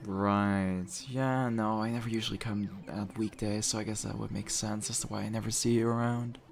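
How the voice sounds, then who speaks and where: Man acting deep-voiced teasing young guy. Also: fairly even intonation, gravelly. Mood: playful teasing. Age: young adult. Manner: teasing, playful, halting and mock-polite. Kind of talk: intimate audio roleplay, casual conversational acting.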